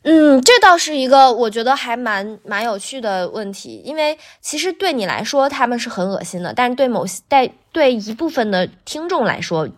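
A woman's voice: fairly high pitch, animated, moderate pace, hardly any pauses. A woman talking as a guest voice actor in a casual podcast chat, with a tentative, self-qualifying way of speaking that often repeats phrases.

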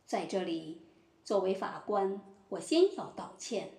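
A woman playing a solemn judge in a mock-trial audio drama, speaking formally and deliberately. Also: medium pitch, gravelly, a little mellow. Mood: formal, grave. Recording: roomy echo.

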